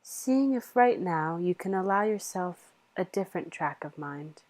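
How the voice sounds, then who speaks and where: A woman leading a guided meditation in a soothing, measured manner with long pauses. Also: fairly low pitch, animated, darkish tone.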